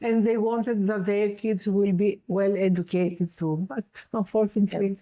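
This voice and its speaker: An older Greek woman speaks slow, careful English with a clear Greek accent, often stopping to search for the right word. Her voice is mellow and soft-edged, pitched at an ordinary middle height for a woman. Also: steady tone, rough recording. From Greece. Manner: halting, searching for English words. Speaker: guest. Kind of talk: casual interview in a second language.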